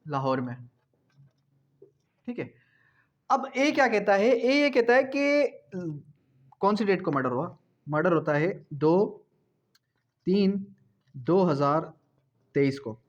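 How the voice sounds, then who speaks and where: A man with a Pakistani accent lecturing as a law teacher, didactic, repeating key phrases, asking check questions and slowing down for English terms. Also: high-pitched, lively intonation. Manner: switches between Urdu and slowly read English legal phrases. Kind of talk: classroom lecture for exam preparation. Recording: dull, lo-fi lecture recording.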